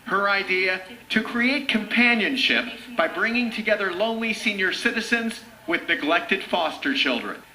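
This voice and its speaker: A man's voice: high voice, lively intonation, moderate pace, pushed and bright, rough recording. A man reading a scripted television news report as a reporter.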